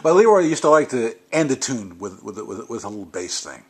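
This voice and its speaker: A man interviewing a musician off camera, asking brief, relaxed questions and nodding along with "uh-huh". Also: mid-range voice, brisk, breathy edge.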